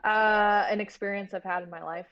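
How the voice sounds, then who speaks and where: A woman's voice: mid-range voice, steady tone, normal speed, no echo, highs cut off. A woman chatting casually and candidly as an interview guest, talking like a filmmaker about her work.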